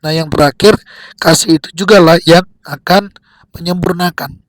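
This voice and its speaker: A man giving a devotional sermon, exhorting in short, repeated phrases with pauses between them. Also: a little high, no room sound. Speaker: preacher giving a daily devotional.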